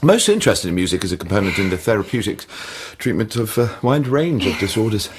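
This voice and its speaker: An actor in a radio drama playing an impulsive, charming psychiatrist with a teasing, glib, flirtatious manner. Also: male voice, on the low side, sing-song, fast, slight background noise. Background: music.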